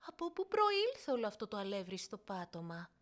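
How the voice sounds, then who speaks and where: A woman's voice: medium pitch, sing-song, a bit bright. Woman playing a startled storybook mother. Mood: surprised. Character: surprised, hardworking mother of young girls.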